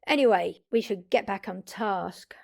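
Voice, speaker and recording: A confident female vampire, acted by a woman, speaks at a medium pitch. She explains the magical world like a seasoned mentor, chatty and wry, talking straight to one listener in an intimate audio drama. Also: unhurried, vocal fry, a little mellow. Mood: brisk, upbeat. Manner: chatty, informal explaining with occasional swearing.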